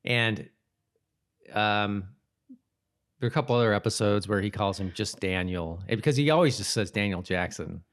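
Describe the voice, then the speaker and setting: Fairly low-voiced man, halting podcast banter. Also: fairly bright tone. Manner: informal, halting. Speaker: podcast co-host. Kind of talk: casual co-hosted chat.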